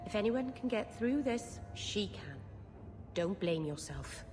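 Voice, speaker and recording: A woman's voice: medium pitch, lively intonation, unhurried, darkish tone, slight background noise, close to an everyday voice. A woman voicing a kind-hearted, naive young woman in a video game, sincere and earnest. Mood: concerned. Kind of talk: video game dialogue acting.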